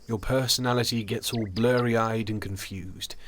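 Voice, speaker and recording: An actor playing a cool, teasing, bluntly philosophical country doctor in an audio drama. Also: male voice, on the low side, fairly even intonation, normal speed, slight background noise, lightly acted.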